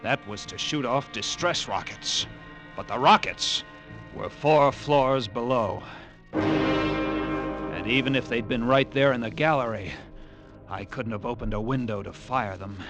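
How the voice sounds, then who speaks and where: A man's voice: medium pitch, close to an everyday voice. Man playing a haunted lighthouse keeper, music behind. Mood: despairing. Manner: dramatic, halting, intense, with suspenseful pauses. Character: haunted male lighthouse keeper recounting a grim ordeal. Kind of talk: old-time radio drama narration.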